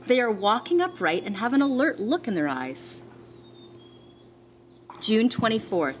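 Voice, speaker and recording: A woman schoolteacher reading a children's storybook aloud, expressively and deliberately, with dramatic pauses. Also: fairly high pitch, a little hiss or hum. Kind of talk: read-aloud of a children's storybook with teacher asides.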